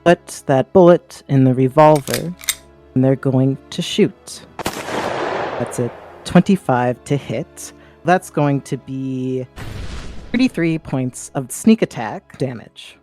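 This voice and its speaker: Slow, measured player. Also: medium pitch, mellow, soft background music. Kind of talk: playing a tabletop game.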